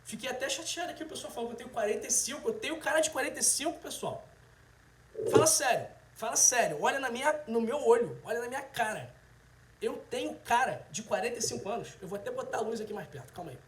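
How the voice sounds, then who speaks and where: A man's voice: extremely high pitch, lively intonation, fast talker, slight background noise. A man of about thirty with a Brazilian accent, chatting casually and directly to viewers as a livestream host. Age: 30s. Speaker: host, health video presenter. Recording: roomy, echoing sound.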